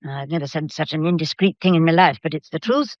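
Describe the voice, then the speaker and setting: An actress playing an agitated, loyal longtime secretary in a radio drama, flustered, indignant and confiding. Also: female voice, sits low, quick pace, no echo, lightly acted. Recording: dull, muffled radio play recording.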